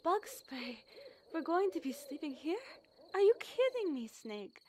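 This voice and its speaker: Plucky young field operative, very high voice, animated swoops, girlish, teasing, audio drama. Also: a little slow, slight background noise. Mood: indignant. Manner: girlish, complaining, playful.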